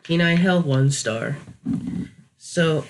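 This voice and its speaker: Higher-voiced man giving casual commentary. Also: slow pace, background noise. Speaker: YouTube reviewer. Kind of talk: casual unscripted review commentary.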